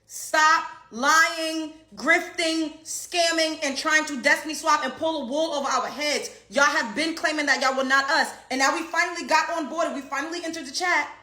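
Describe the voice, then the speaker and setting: A woman's voice: sits high, moderate pace, few pauses, pushed and bright. A woman, ranting bluntly and profanely as an online commentator and comedian. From Brooklyn, New York. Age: 30s. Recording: roomy echo.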